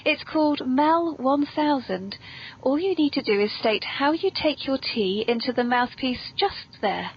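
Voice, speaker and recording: High-voiced, genteel British woman hosting. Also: animated, unhurried, slightly rough. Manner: genteel, playfully theatrical, polite. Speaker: podcast host. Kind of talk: whimsical scripted hosting and genteel chat. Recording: dull lo-fi recording, background noise.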